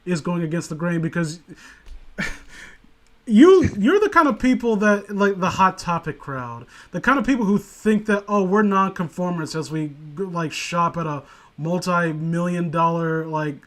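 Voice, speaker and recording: Male co-host for comedic commentary, higher register, casual, rambling, sarcastic and crude. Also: lively intonation, unhurried.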